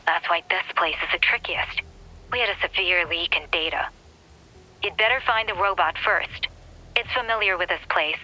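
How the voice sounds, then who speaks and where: Sharp, bright, businesslike woman guide. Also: lowish voice, normal speed, low-quality recording. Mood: businesslike, concerned. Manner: matter-of-fact, instructive. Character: brisk, businesslike female guide at a research post. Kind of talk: video game dialogue, explanatory.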